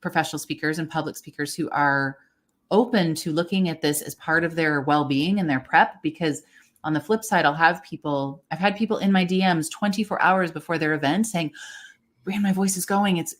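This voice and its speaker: A middle-aged woman speaking as a voice coach in a podcast conversation, measured and encouraging as she advises listeners. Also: sits low.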